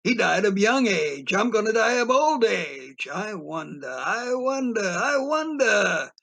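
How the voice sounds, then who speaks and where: A man playing a wistful old storyteller in his eighties in an audio play, musing and repeating himself haltingly. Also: high-pitched, sing-song, gravelly, fairly bright tone, exaggerated voice. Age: 80s.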